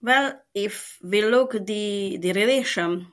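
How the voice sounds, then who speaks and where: A woman's voice: mid-range voice, slow pace. A woman in her thirties from Serbia, speaking as a journalist guest in a podcast interview, hesitant with many ums and self-corrections. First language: Hungarian. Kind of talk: casual interview answers.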